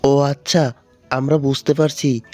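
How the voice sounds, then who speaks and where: A man's voice: mid-range voice, little pitch movement, measured pace, darkish tone, soft background music, natural voice. Man acting composed formal flight controller. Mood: formal, controlled. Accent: Bangladeshi. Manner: formal, polite instructions. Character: male air traffic controller, composed, formal, reassuring.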